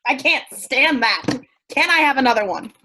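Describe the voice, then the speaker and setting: A woman's voice: high voice, expressive, normal speed, sharp tone, slight background noise, rough recording. A woman acting a blunt, impulsive drinker in a staged play reading, with a theatrical manner. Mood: disgusted, thrilled.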